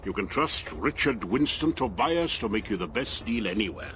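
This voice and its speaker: An actor playing a gruff, fatherly old equipment dealer who scolds and cares, in a video game cutscene. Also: lowish voice, expressive, moderate pace, music in the background, natural voice. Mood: wry, welcoming. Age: older. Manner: scolding, paternal, emphatic.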